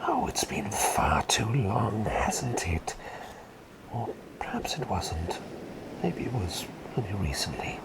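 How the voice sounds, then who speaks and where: A man playing a gentle, forgetful, whimsical plague doctor in a soft ASMR roleplay, speaking in a breathy whisper with polite, hesitant rambling. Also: on the low side, big pitch swings, slow, heavily acted. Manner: rambling, polite, hesitant, repeats phrases softly.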